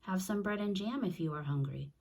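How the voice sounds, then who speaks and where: A woman's voice: mid-range voice, a little fast, vocal fry, a little mellow, a little hiss or hum, lightly acted. A woman voicing a patient but firm mother of small children in a storybook read-aloud.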